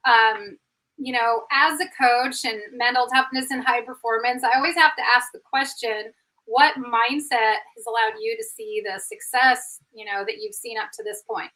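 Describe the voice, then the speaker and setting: A woman's voice: a little high, fairly even intonation, moderate pace, bright, forceful tone, low-quality recording. A middle-aged woman hosting a live interview as a performance coach, effusive and full of praise.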